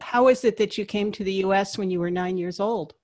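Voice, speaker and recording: A woman's voice: medium pitch, brisk, darkish tone. A woman hosting an interview podcast, moving between scripted introduction and conversational questions.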